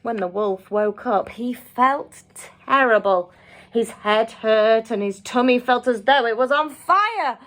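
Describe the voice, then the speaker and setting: A woman teacher reading a children's story, expressive and deliberate, as if to small listeners. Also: fairly high pitch.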